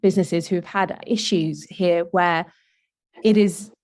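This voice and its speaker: A woman host with a lowish voice asks open questions and encourages her guests. Her voice sounds close and dry, with no room around it. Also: steady tone, takes it slowly, a little mellow, dry recording. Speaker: podcast host. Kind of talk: podcast interview.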